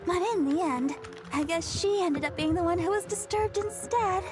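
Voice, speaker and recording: Very high, sweet girlish songstress. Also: moderate pace, over music. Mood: earnest recounting. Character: sweet earnest young church songstress, eager and girlish. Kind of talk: video game voice acting.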